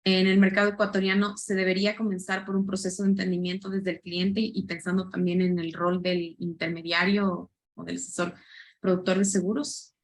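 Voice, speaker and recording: A woman's voice: lowish voice, level tone, fairly quick. A woman moderating a webinar, reading audience questions aloud with many hesitant fillers.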